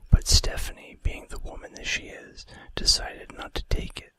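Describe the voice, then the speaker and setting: Man whispering softly for ASMR. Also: no room sound. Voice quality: soft, breathy, unvoiced whisper. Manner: unhurried, casual asides. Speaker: ASMR video host. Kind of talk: ASMR, reading fun facts.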